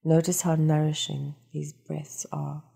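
A woman leading a guided meditation, speaking in a calm, soothing and unhurried instructional manner. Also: deep voice, creaky, slightly rough.

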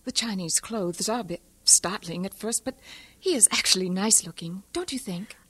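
Earnest, husky-voiced young woman. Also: mid-range voice, moderate pace, a bit bright. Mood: shy, confiding. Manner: hesitant, confiding. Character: earnest, shy young missionary woman secretly in love. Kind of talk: audio drama acting.

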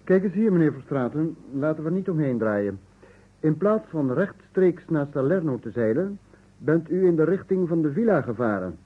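An actor playing a polite but sceptical, businesslike interrogator in a vintage Dutch radio drama, speaking formally and directly. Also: male voice, fairly high pitch, very animated, normal speed, husky. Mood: stern. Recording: muffled, narrow-band old radio recording.

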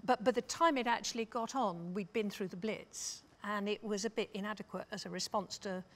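British woman, 60s, mid-pitched, thoughtful academic panelist, measured and wry. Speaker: panelist, drama scholar. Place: stage panel before dark curtains, indoors.

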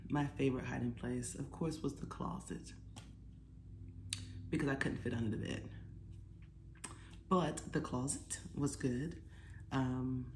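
A woman's voice: deep voice, darkish tone. American lady doing storytime for kids, takes her time, encouraging, echoey living room with noticeable noise behind her. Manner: encouraging, asking the listeners questions. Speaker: author and children's storytime presenter. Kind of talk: casual storytime talk to children. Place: small living room at home, indoors.

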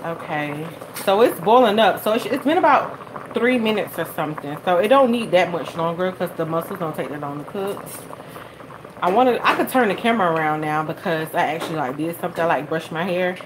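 A woman's voice: fairly low pitch, lively intonation, a little fast. A young woman hosting a live cooking stream from her home kitchen, chatting while she cooks and thanking viewers by name. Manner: chatty, reads viewer comments and thanks them. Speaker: cooking channel host. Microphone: phone camera held over the stove. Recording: phone audio with background noise.

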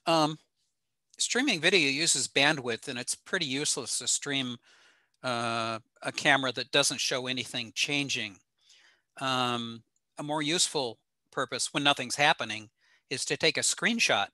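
An older American man giving an informal slide lecture in an explanatory, halting way with frequent pauses. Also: mid-range voice, pushed and bright, no echo. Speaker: presenter, ham radio operator. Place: small home room indoors.

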